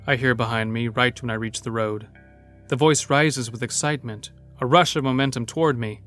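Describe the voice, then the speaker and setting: Weary brooding man voiced by an actor, introspective first-person drama narration, medium pitch, soft music behind. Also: lightly acted. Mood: brooding, wistful. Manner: introspective, measured.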